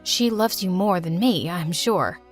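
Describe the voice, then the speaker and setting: A woman's voice: on the low side, unhurried, faint music behind, lightly acted. A woman voicing a grown mother who gave up her twins, speaking earnestly. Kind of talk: scripted storytelling dialogue.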